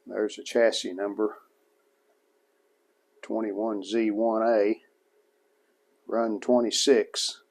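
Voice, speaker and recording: A man giving casual hands-on narration of a repair as a hobbyist restorer, plain-spoken and unhurried, thinking aloud as he works. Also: lowish voice, flat delivery, darkish tone.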